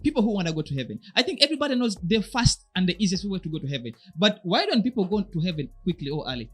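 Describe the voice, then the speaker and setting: Male co-host with a high, lively voice, quick to agree and chime in. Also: slightly rough, soft background music. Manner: agreeing often, chiming in with short backchannels. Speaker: podcast co-host. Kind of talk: casual philosophical discussion.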